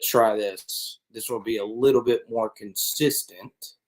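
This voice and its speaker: A man's voice: lowish voice, fairly even intonation, measured pace, a little mellow. A man hosting a college football live stream, talking straight to viewers and often checking in with "okay?". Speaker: sports channel host. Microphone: desk mic with changed settings.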